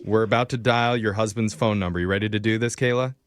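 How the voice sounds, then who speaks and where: A man hosting a radio morning show, talking in lively banter. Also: on the low side, quick pace, dry recording.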